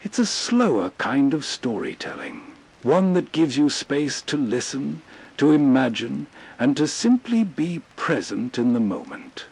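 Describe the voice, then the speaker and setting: Slow, husky male host. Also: mid-range voice, sing-song, darkish tone. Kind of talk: scripted narration, nostalgic late-night hosting.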